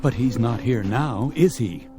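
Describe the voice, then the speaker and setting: A commanding captain acted by a man in an old time radio drama, with music running beneath. He fires off accusing questions and clipped orders at a middling pitch. Beneath the stern authority he cares about his men. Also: animated, measured pace, lightly acted. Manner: forceful interrogating, clipped orders.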